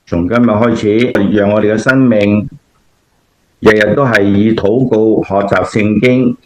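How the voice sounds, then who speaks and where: A man's voice: lowish voice, fairly even intonation, a little slow, slight background noise, natural voice. A man with a Cantonese accent playing a kindly, devout grandfather who explains things patiently in a scripted radio drama. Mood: solemn, devout. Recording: dull, lo-fi audio.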